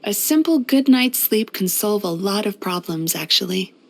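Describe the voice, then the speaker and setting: A gentle female spirit from a fantasy video game, centuries old and feeding on dreams. Her mid-range voice is soothing and polite. She speaks like a caring therapist putting a patient at ease. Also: medium pitch, lively intonation, moderate pace. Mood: chatty, thoughtful. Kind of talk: video game character voice lines.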